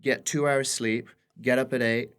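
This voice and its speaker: A man's voice: medium pitch, fairly even intonation, normal speed, fairly bright tone, smooth. A young man from Belgium with a cold, chatting casually and playfully as a comedian guest on a podcast. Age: young adult. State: has a cold. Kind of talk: casual chat.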